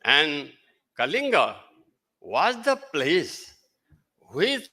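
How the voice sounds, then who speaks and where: A man, a native Nepali speaker with a Nepali accent, giving a halting, emphatic formal speech as guest speaker at a ceremony. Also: a little high, huge pitch swings, sharp tone. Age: older.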